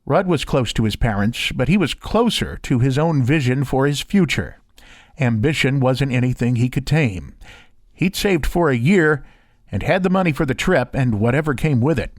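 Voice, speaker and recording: A man's voice: mid-range voice, animated, normal speed, dry recording. A man doing scripted voiceover narration and demo reading, recorded close on a studio condenser microphone. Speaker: voiceover artist and interviewer.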